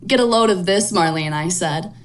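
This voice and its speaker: A woman's voice: fairly low pitch, normal speed, natural voice. A woman playing a wry, slangy young narrator telling a spooky tale in a radio drama. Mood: wry storytelling.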